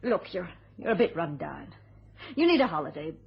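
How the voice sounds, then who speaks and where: An actress playing a worried, reproachful British wife in a fretful, pleading radio thriller style. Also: female voice, fairly low pitch, sing-song, moderate pace, breathy edge, exaggerated voice. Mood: frightened, pleading. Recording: dull lo-fi radio drama recording.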